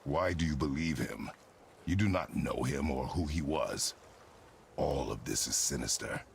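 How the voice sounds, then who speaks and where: Very deep, gravelly stern warrior father. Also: slight background noise, heavily acted. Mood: grim, firm. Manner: terse, measured, stoic. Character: stern, guarded warrior father, grim and weary.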